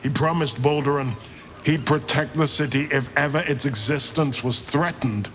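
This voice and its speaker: A man's voice: fairly high pitch, normal speed, a bit bright, slight background noise. An actor playing a proud, anguished older grand duke and father, with solemn, formal delivery in a fantasy game. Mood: solemn, resolute. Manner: solemn, formal, theatrical oratory. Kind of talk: dramatic fantasy game acting.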